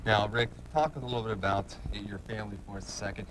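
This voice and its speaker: Male TV sports interviewer. Rapid, slightly low voice, long-winded questions, noticeable noise behind. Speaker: sports show host and play-by-play announcer. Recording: clearly noisy background.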